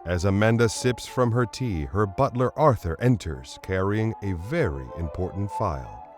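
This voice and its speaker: A man's voice: lowish voice, lively intonation, normal speed. A man giving scripted, dramatic narration as the narrator of a radio play. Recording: music underneath.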